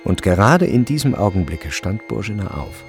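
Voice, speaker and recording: A male narrator of a literary radio drama with a low, gravelly voice. He reads in a measured, deliberate way over faint music. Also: sing-song.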